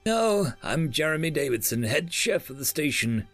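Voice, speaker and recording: Older head chef for an audiobook, read by a man: talkative, flustered, emphatic, animated pitch, dry recording. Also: normal speed, no echo, soft background music. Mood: nervously emphatic. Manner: emphatic, chatty, hesitant. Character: talkative, nervous male head chef in late fifties. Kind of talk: audiobook character dialogue.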